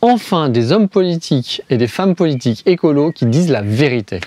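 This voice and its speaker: Higher-voiced man arguing a point. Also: expressive, brisk, dark tone, slight background noise. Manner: argumentative, with ironic asides; poses questions then answers them. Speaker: video host. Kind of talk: scripted explainer monologue.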